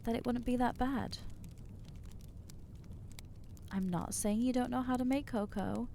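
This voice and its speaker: A woman voicing an anxious, guilt-ridden young female vampire in an ASMR roleplay, speaking haltingly and stammering as she reproaches herself. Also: on the high side, fairly even intonation, vocal fry, mellow, slight background noise. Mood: flustered.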